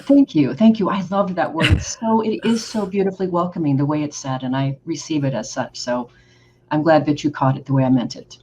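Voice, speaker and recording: A woman's voice: deep voice, a little fast, dark tone. A woman in her fifties from Oregon hosting an interview podcast, with enthusiastic, effusive questions. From Oregon, United States. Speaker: podcast host. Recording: slightly dull recording with faint background noise.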